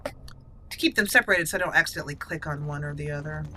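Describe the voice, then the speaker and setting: A woman's voice: sits low, fast talker, pushed and bright, a little hiss or hum. A woman giving roleplay commentary on a video game, thinking aloud as she goes. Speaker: gaming channel host.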